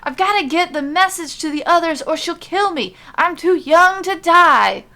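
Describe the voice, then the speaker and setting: A woman's voice: very high voice, lively intonation, normal speed, pushed and bright, voice pushed up, exaggerated voice. A woman voicing a frantic, flustered male messenger in an audiobook-style fantasy story. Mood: panicked. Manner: exclamatory, flustered.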